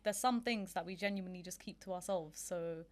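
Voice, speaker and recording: A woman's voice: lowish voice, fairly quick. Thoughtful woman, casual podcast chat. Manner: thoughtful, agreeable, teasing. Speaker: podcast co-host.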